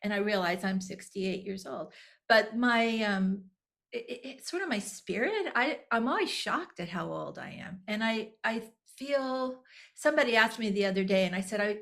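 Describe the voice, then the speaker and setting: A woman's voice: on the high side, fairly bright tone. A woman in her sixties, a podcast guest, talking candidly and reflectively, often restarting her sentences and laughing lightly. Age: 60s. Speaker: guest, nonprofit executive director. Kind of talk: conversational podcast interview.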